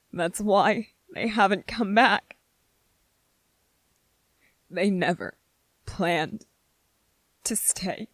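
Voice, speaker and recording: A gentle young soldier played by a woman speaks in a low, husky voice for an ASMR drama. He is anxious and full of self-doubt, halting between phrases at a slow pace. The sound is soft and wounded. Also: no echo, full character voice, low-quality recording. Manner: hesitant, halting, with repeated phrases and pauses. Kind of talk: scripted dramatic ASMR roleplay monologue.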